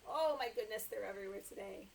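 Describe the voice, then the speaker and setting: A middle-aged American woman reading and chatting to toddlers as a story time host, in an animated, exaggerated way, recorded indoors by a camera a short way in front of her. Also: medium pitch, quick pace, creaky, slight background noise. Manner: animated, exaggerated expression for young children. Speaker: children's librarian hosting story time. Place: small indoor room with sofa.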